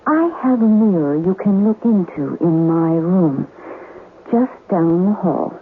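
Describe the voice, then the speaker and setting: An actress playing the ghost of a murdered wife in a radio drama, coquettish and menacing, with theatrical, taunting delivery. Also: female voice, on the low side, animated, measured pace, a little hiss or hum. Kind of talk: 1970s radio drama acting. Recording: muffled old radio broadcast, music underneath.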